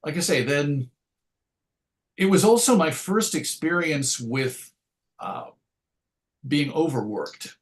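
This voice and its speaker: A man's voice: a little high, lively intonation, slow pace, pauses often. A middle-aged man giving a casual interview, telling anecdotes in a chatty, wry storytelling way. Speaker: interview guest, comics writer and editor.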